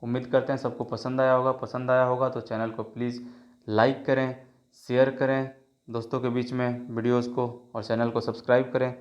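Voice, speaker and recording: A man's voice: medium pitch, flat delivery, normal speed, dull audio. A man with a sore throat teaching an exam prep lesson, reading out each multiple choice question and its options before giving the answer. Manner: reads options then gives the answer, many filler checks.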